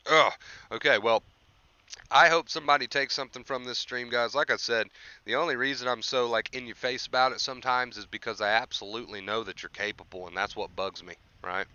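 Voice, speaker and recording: Young man, motivational streamer, sharp forceful tone, smooth but slightly tired voice, emphatic, repetitive, informal, close dry sound. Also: mid-range voice, fairly even intonation, fairly quick, no echo, muffled recording. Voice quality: voice tiring from overuse. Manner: emphatic, repetitive, often checks with "right?". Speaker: livestream host, anxiety recovery coach. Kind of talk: motivational rant to live chat.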